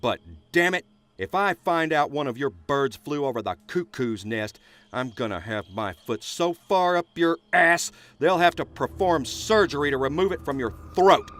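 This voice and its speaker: A man playing a gruff, hot-tempered small-town sheriff in an audio drama, commanding, curt and coarse-mouthed. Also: fairly high pitch, expressive, normal speed, a bit bright. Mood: angry threatening.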